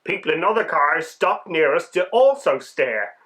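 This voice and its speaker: A man voicing a small, excitable winged insect telling its adventure in a children's storybook, wide-eyed and dramatic. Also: on the high side, moderate pace. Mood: curious, awkward. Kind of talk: children's storybook read-aloud.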